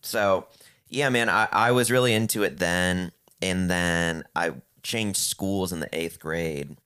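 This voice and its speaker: A man's voice: deep voice, takes it slowly, pushed and bright, smooth. A young man with a Chicago accent in casual chat, talking out of the side of his mouth and stretching his syllables.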